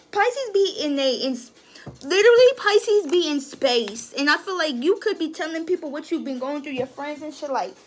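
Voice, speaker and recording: High-voiced, cussing woman tarot reader. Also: expressive, normal speed. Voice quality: high-pitched voice. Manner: profane, slangy and emphatic. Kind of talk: casual tarot reading.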